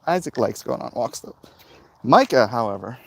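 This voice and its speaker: A man's voice: medium pitch, sing-song, moderate pace, creaky, fairly bright tone. A man talking casually and ramblingly with jokey asides, as a vlog host out on a walk. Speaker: vlog host, comic book creator. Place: outdoors on a street walk. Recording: outdoor street noise, passing vehicles.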